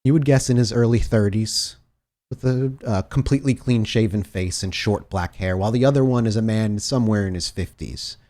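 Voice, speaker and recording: A man's voice: lowish voice, a little fast, dark tone. A man working as game master, narrating a tabletop game in dramatic, cinematic scene-setting descriptions.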